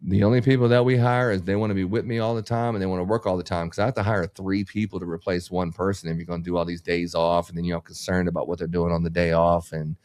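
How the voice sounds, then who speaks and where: Blunt, low-voiced business owner. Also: male voice, level tone, fast, hardly any pauses, darkish tone. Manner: blunt, profane, rambling, motivational. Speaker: business owner and podcast host. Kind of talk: casual podcast chat.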